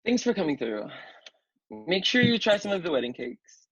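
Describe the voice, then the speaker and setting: A man acting a guarded, gentle, philosophical young guy in a table read, on a dull home mic. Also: high-pitched, very animated, moderate pace, slightly rough, dry recording, full character voice. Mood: soft reproach.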